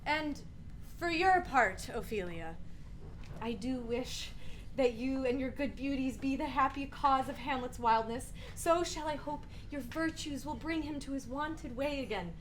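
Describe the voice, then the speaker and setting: A woman playing a gracious, hopeful middle-aged queen in a Shakespearean radio play, with courtly, gentle delivery. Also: high-pitched, a little slow, slight background noise, low-quality recording. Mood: hopeful, courteous.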